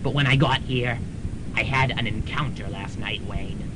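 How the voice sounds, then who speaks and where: A man's voice: moderate pace, natural voice. A man playing a brassy older aunt, noisy tape. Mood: grave, urgent. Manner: wisecracking, familiar, theatrical. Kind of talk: 1990s student radio comedy drama. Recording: rumbling, noisy college radio tape.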